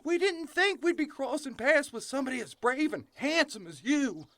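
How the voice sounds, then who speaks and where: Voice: sits high, animated, moderate pace, fairly bright tone, dry recording. A performer voicing a precocious young farm boy in a comic western audio drama, mixing folksy talk with grandiose speech. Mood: mock pleading.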